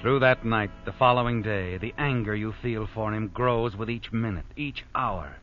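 A man's voice: fairly low pitch, normal speed, close to an everyday voice. A man playing a knowing, ominous narrator in old radio suspense drama, dramatic and needling in his phrasing. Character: knowing, ominous noir narrator addressing the culprit. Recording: dull old radio broadcast. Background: dramatic music.

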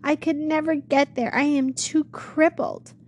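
A woman's voice: high-pitched, steady tone, a little slow, a little mellow, slight background noise, voice pushed up. A woman playing a fearful, pleading young maiden. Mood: longing. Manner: anxious, tearful pleading.

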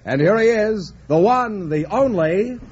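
A man announcing a radio quiz show with booming, declamatory introductions. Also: lively intonation, a little slow, crowd noise. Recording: muffled 1950s radio broadcast with music.

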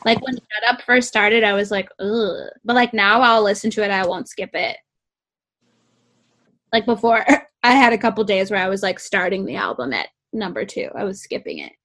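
Woman, young adult, sweet squeaky voice, casual music chat, lots of "like", mid pitch. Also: moderate pace, a bit bright. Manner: chatty, peppered with "like". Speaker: co-host reviewing music.

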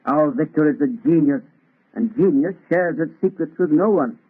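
A man's voice: on the high side, animated, moderate pace, breathy edge. A man playing a mild, reassuring older professor, formal and courteous, in old radio drama style. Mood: genial. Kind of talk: 1930s radio drama acting. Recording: tinny, scratchy old radio broadcast.

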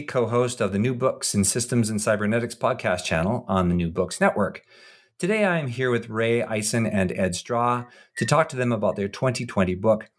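Low-voiced man hosting a podcast. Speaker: podcast co-host and interviewer. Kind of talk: podcast introduction and interview.

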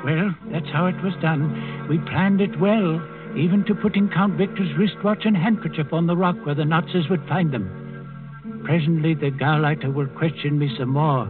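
An actor playing an old Danish servant and secret resister, telling his story deliberately in a 1940s radio drama. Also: fairly high pitch, close to an everyday voice. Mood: quietly triumphant. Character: old Danish servant, secret underground plotter, quietly defiant. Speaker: first-person storyteller in a radio play. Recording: muffled 1940s radio recording with music.

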